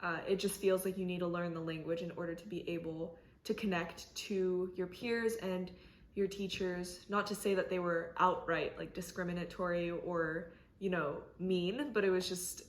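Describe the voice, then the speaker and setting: A young woman whose first language is Korean answers interview questions in a thoughtful, measured way, with frequent fillers. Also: lowish voice, little pitch movement. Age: young adult. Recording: roomy echo.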